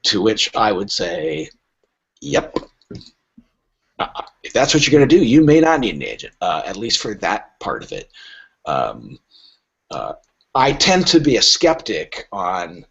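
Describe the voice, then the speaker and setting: A man's voice: on the low side, measured pace, vocal fry, long pauses, husky. A man speaking casually as a literary agent guest on a podcast, telling stories animatedly and acting out bits of dialogue. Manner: animated storyteller who acts out imagined dialogue. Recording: dull, lo-fi podcast audio.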